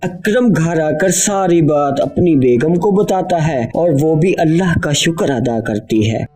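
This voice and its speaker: A man tells a children's story from a script. His voice sits a little high for a man, and he speaks as the narrator. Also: expressive, unhurried, darkish tone, breathy edge, soft background music.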